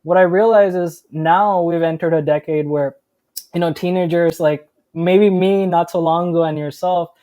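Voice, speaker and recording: A man's voice: fairly high pitch, steady tone, normal speed, mellow, no echo. A young man from India talking casually as a startup founder guest, chatty with many fillers and restarts. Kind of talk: casual interview.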